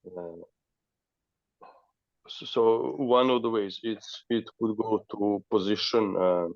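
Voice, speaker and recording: A man's voice: fairly low pitch, gravelly, no echo. A man thinking aloud casually and tentatively as he works through a puzzle, in slightly dull audio. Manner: tentative, halting. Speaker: learner trying puzzles.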